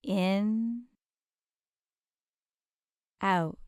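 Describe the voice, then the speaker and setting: A woman guides a meditation at a slow, unhurried pace, with a gentle and soothing manner. Her voice is clear and smooth at a medium pitch, and she leaves deliberate pauses between soft invitations. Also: no room sound, studio quality.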